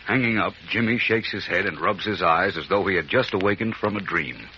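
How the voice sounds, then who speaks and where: A man's voice: low voice, expressive, brisk, sharp tone, a little hiss or hum. A man narrating a 1940s radio serial as its announcer, in a dramatic scripted style. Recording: dull vintage radio recording.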